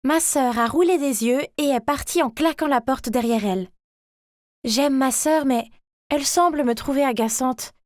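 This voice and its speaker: A woman's voice: high voice, normal speed, dry recording, studio quality, close to an everyday voice. A woman voicing a chatty, ordinary schoolgirl, an overlooked younger sister who tells her own story expressively. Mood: puzzled, slighted. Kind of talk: animated first-person story narration.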